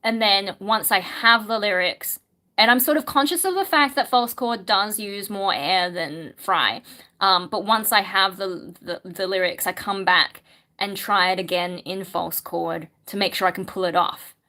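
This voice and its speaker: A woman talks through viewer questions on a casual livestream. Her voice is bright and forward, sitting in an ordinary middle range. She muses aloud with plenty of ums as she thinks. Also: medium pitch, measured pace. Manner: chatty, musing, lots of ums, reads chat questions aloud. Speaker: singer and streamer answering chat.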